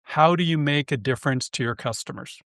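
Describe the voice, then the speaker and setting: A man's voice: mid-range voice, brisk, a bit bright, no room sound, studio quality. A Canadian man hosting a podcast interview, speaking in a friendly, enthusiastic way and often restarting his phrases. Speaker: podcast host. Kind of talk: conversational interview.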